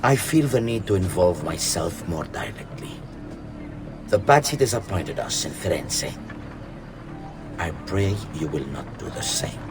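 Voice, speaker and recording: A man playing an imperious conspiracy leader in a video game, cold and commanding, speaking sternly and deliberately over music. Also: low voice, very animated. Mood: stern warning. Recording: dramatic music behind.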